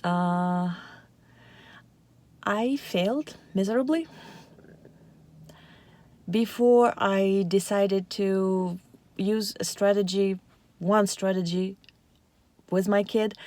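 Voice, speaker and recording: Mellow-voiced woman. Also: mid-range voice, dry recording. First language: Russian and Ukrainian. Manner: chatty, unscripted, lots of fillers. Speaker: parenting channel host. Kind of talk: casual talk to camera.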